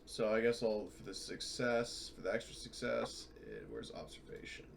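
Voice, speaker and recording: A man's voice: lowish voice, big pitch swings, moderate pace, gravelly, slight background noise. A man playing a tabletop roleplaying game online, chatting casually and thinking aloud hesitantly.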